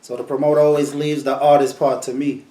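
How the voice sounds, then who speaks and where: Relaxed New man, echoey room. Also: mid-range voice, fairly quick. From New York. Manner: relaxed, storytelling, repeats words. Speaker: sound system selector. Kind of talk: casual interview answers.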